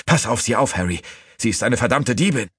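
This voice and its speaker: A man performs an angry, accusing servant man in an audiobook. The voice is urgent and raised well above a deep register, with lively swings of pitch. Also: fairly quick, slightly rough, no echo, studio quality. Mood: angry cursing. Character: harsh, accusing male servant, urgent and wounded. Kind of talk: audiobook character voice.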